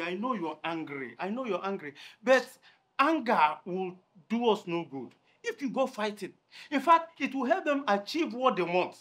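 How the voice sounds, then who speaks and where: An actor playing a married man who calmly pleads with his angry lover, speaking softly and repeating reassurances. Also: high voice. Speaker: chief, mother's lover.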